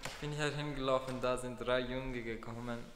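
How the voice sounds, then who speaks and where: A man's voice: medium pitch, steady tone, normal speed, a bit bright, a little hiss or hum. Subdued teenage boy, echoey room. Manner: hesitant, subdued. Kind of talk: amateur short film acting.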